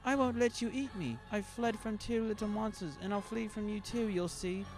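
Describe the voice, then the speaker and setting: A man voicing a frightened little red-headed boy who yells in a high, loud, panicky voice. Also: moderate pace, over music, poor audio. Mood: defiant panic.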